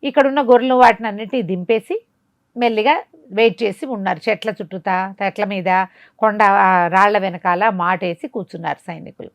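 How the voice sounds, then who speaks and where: A woman telling a bedtime tale in an animated, conversational way, dramatically and with rhetorical questions. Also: medium pitch, brisk, no room sound. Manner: dramatic, asks rhetorical questions as she narrates. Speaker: storyteller.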